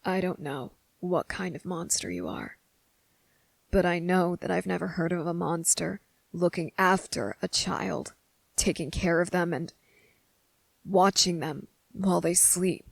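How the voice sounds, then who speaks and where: Woman as defiant young boy, guarded, lowish pitch, fry in the voice, deliberate delivery, ASMR audio drama. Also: animated, dry recording, full character voice. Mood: puzzled, guarded. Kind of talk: ASMR roleplay audio drama, soft monologue.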